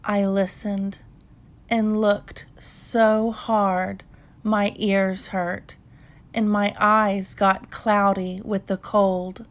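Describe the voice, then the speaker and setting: A woman narrates a children's bedtime story at a very slow pace. Her voice sits at a medium pitch, and she reads calmly, leaving space between short phrases. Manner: measured, unhurried storytelling with pauses. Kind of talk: calm bedtime read-aloud narration.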